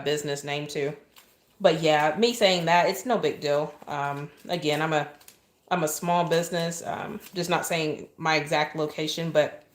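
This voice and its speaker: Southern woman, soft calm lower voice, chatty livestream cake decorator at a kitchen counter. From Oklahoma, USA. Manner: chatty, thanks viewers often, asides while working. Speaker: cake decorator live-streaming. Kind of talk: casual livestream chat while piping icing.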